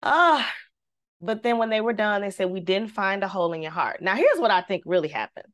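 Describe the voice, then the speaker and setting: A woman, a singer, telling a personal story in casual conversation, lively and devout, acting out the voices she quotes. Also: medium pitch, brisk. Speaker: guest.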